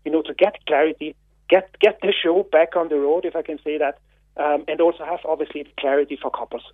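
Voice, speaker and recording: A man with an Austrian accent speaks on a radio phone-in as a guest. He talks haltingly, with many fillers and repeated words, in a slightly high voice. The sound is dry and tinny, like a phone line. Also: steady tone, dry recording. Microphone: telephone.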